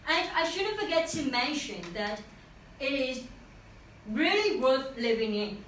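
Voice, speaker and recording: A young woman with a Vietnamese accent speaks high and animated, her pitch rising and falling freely. She presents to a class in earnest, pausing and restarting at times. She sounds far from the mic, in a very echoey room with faint noise. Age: young adult. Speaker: student presenter.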